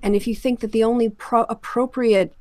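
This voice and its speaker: A woman's voice: on the high side, fairly even intonation, brisk, a little mellow, dry recording. A woman hosting a panel discussion, explaining her opinions in hesitant, searching phrases. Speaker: podcast host. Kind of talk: panel discussion on a remote call.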